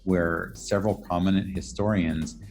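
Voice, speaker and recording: A man's voice: deep voice, steady tone, moderate pace, darkish tone, soft background music. A man speaking as an academic interviewee, explaining ideas in a thoughtful, articulate way and rephrasing as he goes. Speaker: academic interviewee, architectural historian and professor.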